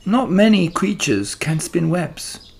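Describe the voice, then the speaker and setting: Man putting on a high, gentle lady spider. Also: slow pace, creaky, husky, exaggerated voice. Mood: reassuring, wry. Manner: calm, instructive, reassuring. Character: gentle, patient, clever female spider mentor. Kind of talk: children's storybook character voice.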